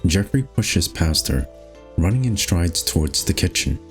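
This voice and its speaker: A man's voice: deep voice, sing-song, measured pace. A man narrating a visual novel game aloud in a scripted storytelling style. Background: faint music.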